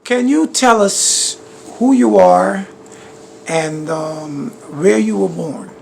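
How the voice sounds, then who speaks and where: A woman interviewing a guest, asking slow, encouraging questions. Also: medium pitch, vocal fry, a little mellow, slight background noise.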